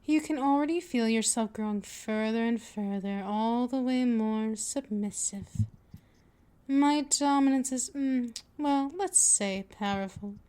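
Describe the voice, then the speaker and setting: A woman playing a patient, possessive vampire mistress in an ASMR roleplay, with a deliberate, teasing, coaxing delivery. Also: a little high, mellow, clean tone, close to an everyday voice. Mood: coaxing, smug. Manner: slow, deliberate, coaxing, with drawn-out pet names.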